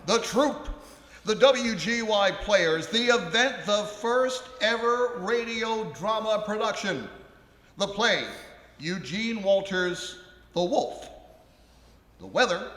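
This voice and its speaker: A man playing a theatrical 1920s actor who narrates a comic live radio play in a declamatory way with deliberate pauses. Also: high-pitched, a bit bright, full character voice. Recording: live in echoey ballroom, long reverb.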